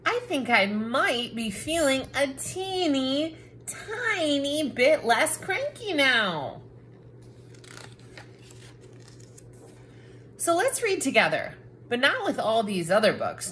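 A woman plays a cranky storybook character, a talking book that bosses and exclaims. The delivery is very animated and swoops up and down, at an unhurried pace. Faint noise sits behind the voice. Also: high-pitched, pitched above the performer's own voice, full character voice. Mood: softening, friendly. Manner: bossy, dramatic exclamations. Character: cranky, grumpy talking picture book, bossy and easily exasperated. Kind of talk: children's picture book read-aloud.

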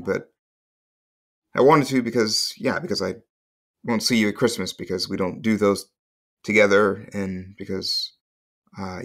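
An actor in an audio play playing an awkward, heartbroken, tender young father who speaks haltingly and corrects himself. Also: fairly low pitch, fairly bright tone. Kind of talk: naturalistic comedy-drama acting.

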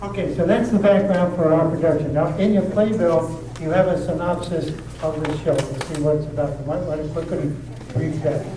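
A man's voice: on the high side, steady tone, brisk, mellow. Casual stage host, male, keeps checking in with the audience; recorded far off in a noisy, very echoey hall. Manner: chatty, checks in with frequent "okay?". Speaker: host introducing a readers theater show. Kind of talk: casual talk to a live audience. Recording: echoey room, distant mic, noisy.

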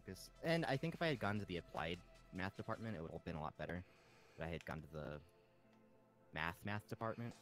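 Low, bright-toned man talking haltingly. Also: lively intonation. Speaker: player in an online game session. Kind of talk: casual chat while gaming. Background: music.

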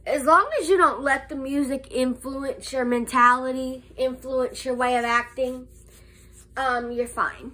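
A young woman recovering from a cold, talking slowly with a nasal voice and hesitating often in a casual vlog recorded close to a phone camera indoors. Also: high voice, fairly even intonation, bright, forceful tone, poor audio. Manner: slow, halting, many "um"s and restarts. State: nasal from a cold, slight cough. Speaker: vlogger. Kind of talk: casual life update vlog. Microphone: phone camera held close.

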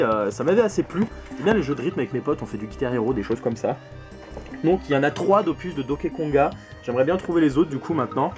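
Higher-pitched young man chatting casually. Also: darkish tone. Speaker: video game collector and channel host. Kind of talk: casual vlog chat, showing purchases to camera. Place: small bedroom full of games, indoors.

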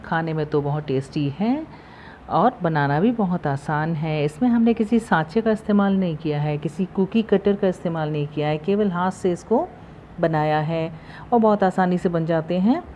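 A woman hosting a cooking channel, calmly talking through the steps of a recipe in her home kitchen, recorded on a phone. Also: on the low side, moderate pace, lo-fi sound, a little hiss or hum. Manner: calm, instructive, repeats steps. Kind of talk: step-by-step recipe voice-over.

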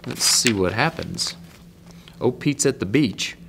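A middle-aged man reading a children's picture book aloud with asides, in measured, emphatic phrasing. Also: medium pitch, animated, gravelly, background noise. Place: indoor room set up as a reading corner.

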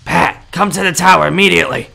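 Man playing an urgent, forceful young male ally. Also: on the high side, fast talker, creaky, pitched above the performer's own voice, full character voice. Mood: alarmed, urgent. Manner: urgent, instructing. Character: urgent young male tower-bound ally warning of invaders. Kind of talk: improvised video game roleplay.

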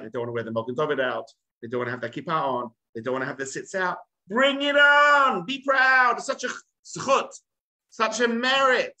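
A man in his forties, a rabbi, teaches an online class from his study. He talks in an animated, emphatic way over a webcam on a video call. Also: high voice. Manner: animated, emphatic, gesturing a lot. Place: home study with bookshelves, indoors.

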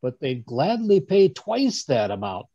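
A man playing a genial, fussy older English gentleman, chattily recounting his adventures in old-time radio drama style, with a husky voice. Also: a little high, very animated, unhurried, dry recording, heavily acted. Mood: amused. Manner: chatty, chuckling, rambling storyteller with stammered asides. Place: home room on a group video call. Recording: dull, dry video-call audio.

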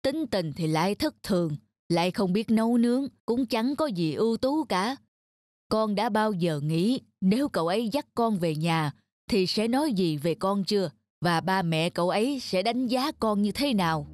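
A woman voicing a calm lecturing cartoon dad. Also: mid-range voice, no room sound, natural voice. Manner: measured, reasoning, fatherly. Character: calm middle-aged father, gently lecturing. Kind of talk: cartoon dub acting. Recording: studio voice-over with background music.

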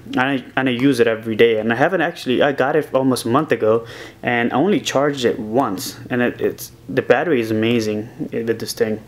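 A young man talks through his motorcycle helmet setup in a loose, rambling way, peppered with ums and uhs. His pitch stays fairly level and even as he talks. He sounds close to the camera in a small home office. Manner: relaxed, rambling with many uh and um fillers. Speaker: motovlogger. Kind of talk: casual vlog, gear walkthrough.

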